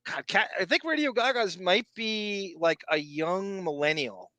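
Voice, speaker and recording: Male podcast co-host, bright and fairly high, loose chatty banter. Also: expressive, no echo. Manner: chatty, digressive, quick to laugh and swear. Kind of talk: casual banter.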